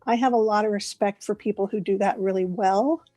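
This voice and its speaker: A woman in her late forties, a voice actress chatting as an interview guest, talking warmly and laughing often. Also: mid-range voice, fairly even intonation, a little fast, no echo, clean studio sound, soft background music. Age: 40s. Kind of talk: casual interview chat.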